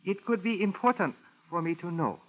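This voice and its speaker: An actor playing a suspicious, falsely humble Japanese chauffeur with halting, broken English and a Japanese accent, in 1940s radio style. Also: male voice, high-pitched, lively intonation. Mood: slyly probing. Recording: dull 1940s radio recording.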